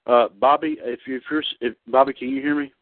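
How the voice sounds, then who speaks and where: A man in his thirties hosting a casual online radio show about wrestling. He talks haltingly, with many fillers and restarts. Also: medium pitch, fairly even intonation, dry recording. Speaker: podcast host. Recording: tinny phone-line sound, dry.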